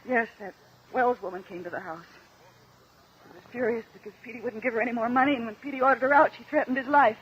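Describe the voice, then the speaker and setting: An American woman playing a deferential, halting widow giving testimony in a mid-century radio drama. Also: on the high side, a bit bright, natural voice. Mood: earnest. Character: polite, hesitant widowed wife testifying on the witness stand. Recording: dull, lo-fi old radio recording.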